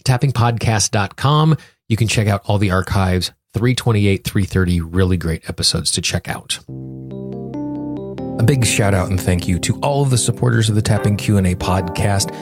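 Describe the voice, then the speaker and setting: A man's voice: on the low side, moderate pace, faint music behind. An American man hosting a solo podcast, explaining things and speaking directly to his listeners. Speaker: podcast host.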